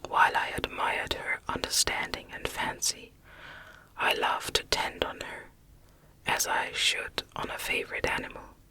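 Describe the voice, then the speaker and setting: A woman reads a classic novel as ASMR in a breathy, unvoiced whisper, gentle and measured in her phrasing. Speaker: audiobook narrator.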